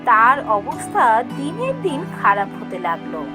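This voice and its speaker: A woman tells a fairy tale as a scripted narrator. Her voice is high with lively, swinging intonation, and music plays clearly behind her. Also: normal speed. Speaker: storyteller.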